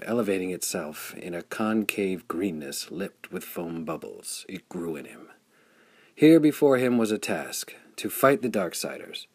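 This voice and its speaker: A man's voice: low-pitched, a little mellow, husky, dry recording. A man reading a pulp adventure story as scripted audiobook narration.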